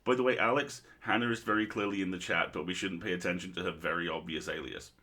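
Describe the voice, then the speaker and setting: A man's voice: deep voice, fairly even intonation, quick pace, sharp tone. A man hosting a casual livestream interview, chatting in an effusive, joking way. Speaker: livestream host.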